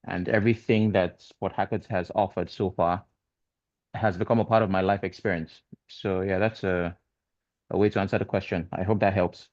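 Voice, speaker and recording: A man who works in radio, speaking as a panelist on a video call in a relaxed, joking way. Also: low voice, moderate pace, no echo. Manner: relaxed and self-deprecating, joking. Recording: dull lo-fi call audio.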